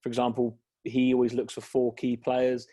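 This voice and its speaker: A man's voice: mid-range voice, completely flat delivery, normal speed, warm tone, husky. A man hosting an interview podcast on a call, chatty and easygoing, with many fillers. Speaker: podcast host. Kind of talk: casual interview. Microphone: video call.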